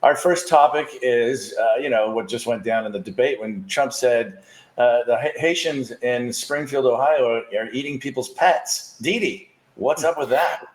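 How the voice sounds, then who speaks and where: A man's voice: medium pitch, moderate pace. A man co-hosting a casual, unscripted political discussion, with a wry way of slipping in quips. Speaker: co-host of a political discussion show. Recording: roomy, echoey sound.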